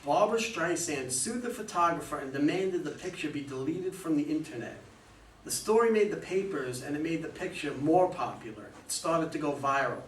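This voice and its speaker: A man's voice: on the high side, expressive, moderate pace, lightly acted. A man playing a composed, knowing consultant who questions and instructs his clients in a staged play reading. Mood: instructive. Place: room at a live readers' theater reading. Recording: echoey room, distant mic, faint noise.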